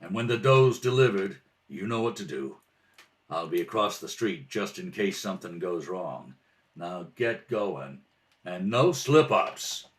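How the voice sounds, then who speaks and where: A man's voice: deep voice, lively intonation, measured pace. A man playing a tough, scheming 1930s nightclub owner in a radio crime drama, with clipped, slangy wise-guy delivery. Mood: businesslike, conspiratorial. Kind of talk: old-time radio crime drama acting. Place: indoors at a kitchen table.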